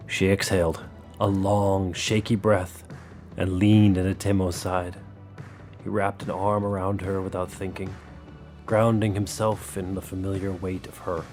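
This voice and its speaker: A man reads scripted, dramatic story narration. His voice is low, and music plays beneath his words. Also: little pitch movement, unhurried, darkish tone. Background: music under the voice.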